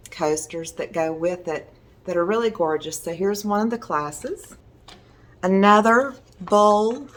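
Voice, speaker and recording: Hoarse, slow-talking woman. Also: fairly low pitch. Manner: chatty, enthusiastic, meandering. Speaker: resin art teacher. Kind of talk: casual video talk showing artwork. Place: her home in the evening.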